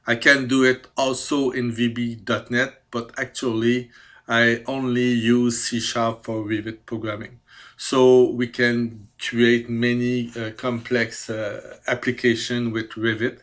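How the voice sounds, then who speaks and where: A man in his fifties from Quebec, a native French speaker with a Quebec French accent, presenting his skills with careful, hesitant speech and many pauses. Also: medium pitch, flat delivery, a bit bright. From Quebec, Canada. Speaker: CAD programmer. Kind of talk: self-presentation video.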